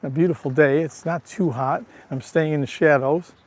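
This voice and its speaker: A man with a raspy, allergy-roughened voice chatting casually to viewers while walking outdoors, recorded on a handheld phone. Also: fairly high pitch, steady tone, moderate pace. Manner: chatty, greets viewers by name. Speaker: livestream vlogger. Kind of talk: casual live walking tour. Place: outdoors on a town street.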